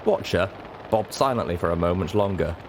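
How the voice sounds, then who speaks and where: A man reading a story aloud in expressive scripted narration. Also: low voice, a little fast, background noise. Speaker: audiobook narrator.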